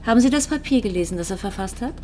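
An actress playing a composed, probing police detective in a TV crime drama, with measured, insistent questions. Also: female voice, on the high side, lively intonation, heavy background noise, natural voice. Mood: accusing. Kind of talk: naturalistic German TV drama dialogue.

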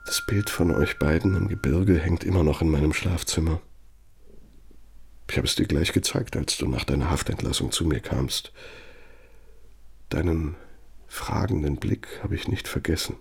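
An actor playing an embittered, loyal old aide to an architect in a radio play, confiding and sardonic, speaking deliberately with pointed pauses. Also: male voice, a bass voice, creaky, slightly rough, exaggerated voice. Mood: nostalgic, reverent. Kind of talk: German radio play monologue.

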